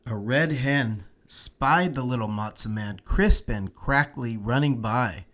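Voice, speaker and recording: A man narrating a children's storybook aloud in a deliberate, expressive way. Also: medium pitch.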